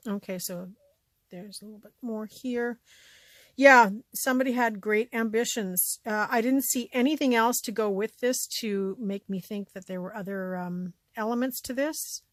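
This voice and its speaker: A woman's voice: medium pitch, unhurried, no echo. Chatty woman with a scratchy voice. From Canada. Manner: chatty, often trailing off into quiet asides. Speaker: vlogger showing a thrift haul. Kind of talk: casual show-and-tell chat.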